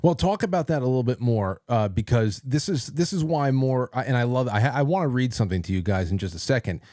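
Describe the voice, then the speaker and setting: A man hosting a casual interview show, talking in a rambling, halting way. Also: mid-range voice, expressive, darkish tone, no room sound, studio quality. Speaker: talk show host.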